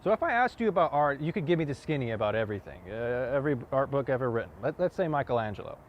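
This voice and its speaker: A man performing a dramatic monologue as a wounded, earnest man confronting someone, halting and repeating words for emphasis. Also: on the low side, natural voice. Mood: forceful, confronting. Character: wounded, earnest man confronting a cocky youth, grieving lover. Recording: dull lo-fi audio, clear outdoor noise.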